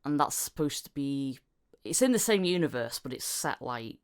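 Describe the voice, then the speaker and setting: A woman hosting a casual podcast interview, giggly and quick to laugh as she asks her questions. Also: extremely low pitch, very animated, clear, resonant tone. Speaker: podcast host.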